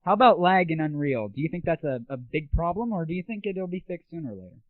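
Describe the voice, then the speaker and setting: A young man with a fairly high-pitched voice hosts a casual gaming show. His interviewing is informal and conversational. The sound is thin and phone-like, with very little room echo, like 1990s internet audio. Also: lively intonation, fast.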